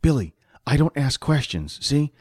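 A man's voice: medium pitch, very animated, moderate pace, darkish tone, breathy edge, no echo, studio quality, voice pushed up. A man voicing a pragmatic, money-minded hired hand in a fantasy audiobook, explaining things in impatient colloquial talk. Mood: firm.

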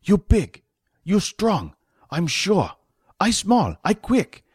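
A man voicing a small, quick, irritable fantasy character who speaks in curt, clipped broken English for an audiobook. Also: high-pitched, wildly swooping pitch, a little mellow, slightly rough, dry recording, studio quality, voice pushed up, exaggerated voice. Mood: insistent.

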